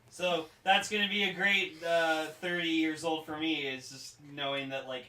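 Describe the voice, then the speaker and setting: Man in his twenties, blunt, swears a lot, bright tone, echoey off-mic sound. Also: on the high side, fairly even intonation, normal speed. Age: 20s. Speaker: podcast co-host. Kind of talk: casual chat. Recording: bright, roomy, off-mic with faint hiss.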